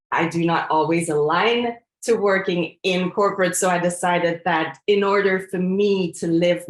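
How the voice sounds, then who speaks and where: A woman in her forties from Belgium, a coach giving a presentation in an earnest, reflective way, often pausing on fillers and restarting her sentences. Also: medium pitch, reverberant. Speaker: coach and trainer. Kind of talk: presentation to an audience.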